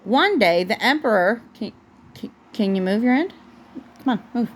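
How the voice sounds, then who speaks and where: A woman with a fairly high voice reads a children's storybook aloud at home. She is a mother reading to her young child, and her reading halts as she breaks off for asides and corrections. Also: expressive, normal speed.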